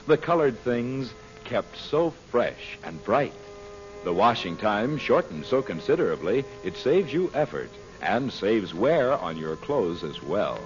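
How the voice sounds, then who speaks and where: A man announcing in vintage radio style, reading a scripted pitch in an emphatic, salesmanlike way. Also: sing-song, a little slow. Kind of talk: 1940s scripted radio ad and sign-off. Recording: muffled old radio broadcast recording. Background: music.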